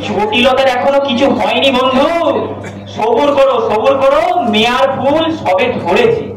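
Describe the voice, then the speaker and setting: A man's voice: extremely high pitch, moderate pace. A man playing an exasperated village husband in a comic audio drama, explaining his tangled family insistently, step by step. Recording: distant echoey hall recording with audience noise.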